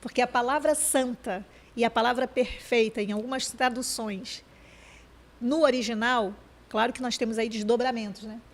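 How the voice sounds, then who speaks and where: High-voiced woman talking fast with restarts. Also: fairly even intonation. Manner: fast, searching speech with many restarts. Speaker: program co-host.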